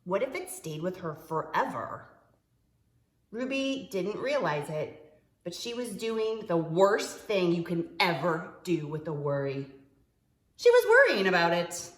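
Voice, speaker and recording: A woman preschool teacher reading a picture book aloud to young children, expressively, with dramatic pauses and stretched-out words. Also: on the low side, very animated, echoey room.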